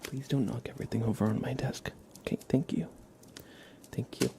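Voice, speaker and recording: A man hosting an ASMR livestream, chatting gently and informally in a soft, breathy voice that often slips into a whisper, close to the microphone. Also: medium pitch, fairly even intonation, a little fast, noisy background. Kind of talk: soft-spoken ASMR, casual live chat.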